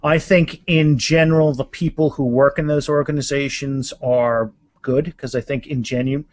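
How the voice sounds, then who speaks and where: A man speaking as an author guest in a casual interview, halting and restarting phrases, with self-deprecating humor. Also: mid-range voice, steady tone, dry recording.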